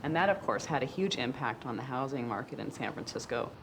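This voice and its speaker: A speaker explaining economic history in a lively way during a documentary interview. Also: mid-range voice, a little fast, background noise. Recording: clear music bed under voice.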